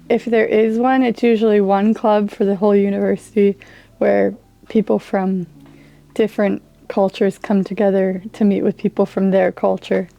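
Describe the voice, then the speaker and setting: A young woman from the US, talking through her answers in a measured, thoughtful way. Her voice is mellow and dark, with a lot of vocal fry running through it. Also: medium pitch. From United States. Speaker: international language student. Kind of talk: interview answers.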